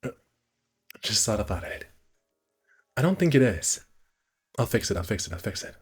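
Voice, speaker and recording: A man's voice: lowish voice, sing-song, moderate pace, breathy edge, soft background music. A man casually streaming a visual novel, reading the narration aloud and correcting himself with muttered asides.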